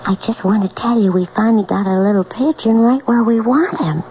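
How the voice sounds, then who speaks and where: A woman's voice: on the high side, brisk, lightly acted. An American actress playing a brassy, scheming wife who impatiently coaxes and manipulates her husband in a 1970s radio drama. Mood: conspiratorial. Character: scheming, two-faced wife, sweetly coaxing her husband. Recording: dull, noisy old radio broadcast.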